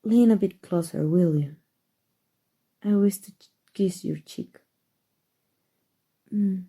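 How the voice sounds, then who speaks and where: A woman playing a powerful, aristocratic vampire in an ASMR roleplay, with formal, measured, protective speech. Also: medium pitch, mellow, husky. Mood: affectionate, intimate.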